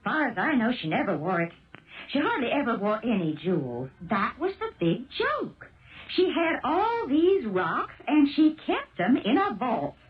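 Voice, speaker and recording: Blunt, streetwise female gossip for seventies radio drama, very animated, on a muffled recording. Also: fairly high pitch, a little slow. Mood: gossipy, knowing. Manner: blunt, slangy, know-it-all. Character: brassy, gossipy, streetwise woman eager for money. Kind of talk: 1970s radio drama acting.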